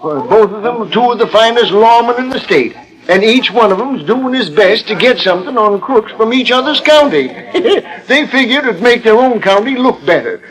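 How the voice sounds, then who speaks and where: A man playing a chatty, excitable small-town storekeeper with an American accent in a 1940s radio western, from an old disc recording. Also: very high-pitched, normal speed, rough recording. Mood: amused, gossipy. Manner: folksy, gossipy.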